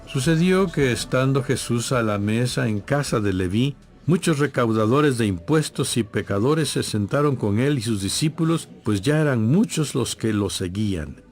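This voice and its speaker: A man's voice: mid-range voice, expressive, normal speed, hardly any pauses, a little mellow. Solemn male Bible narrator over music. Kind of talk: scripted narration of dramatized audio Bible.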